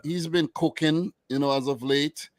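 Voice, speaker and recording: A man in his mid-thirties co-hosting a football chat show, talking in a relaxed, rambling way full of "man" and "you know". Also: fairly high pitch, normal speed, no room sound, studio quality. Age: 30s. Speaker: football podcast co-host. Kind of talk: casual chat.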